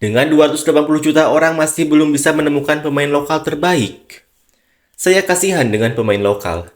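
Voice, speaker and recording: A man in scripted narration, reading football news and online comments in an even, read-aloud way. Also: a little high, fairly quick. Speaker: sports channel narrator.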